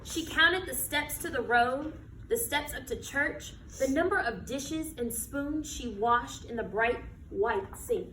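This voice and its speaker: An American woman reading a picture book aloud to children like a teacher, with expressive, emphatic delivery and questions to her listeners. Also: high-pitched, slow pace. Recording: echoey room, distant mic, background noise.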